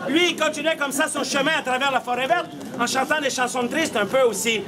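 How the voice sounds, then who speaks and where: A man's voice: very high-pitched, brisk. A man in his forties with a Québécois accent, telling comic stories theatrically to a street audience. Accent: Québécois French. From Quebec, Canada. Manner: theatrical, projecting to a crowd. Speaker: street puppeteer and comedian. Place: outdoors in a town street. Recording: noisy open street, loud crowd.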